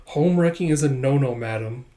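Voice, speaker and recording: A man's voice: medium pitch, moderate pace, warm tone, natural voice. A man voicing a prim, stern servant with a formal, reproving manner in a comic read-aloud. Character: prim, stern servant minding a young lady.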